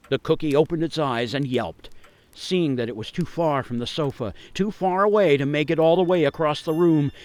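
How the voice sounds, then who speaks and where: A man narrating a scripted radio story in a theatrical, suspenseful, dramatic way. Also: medium pitch.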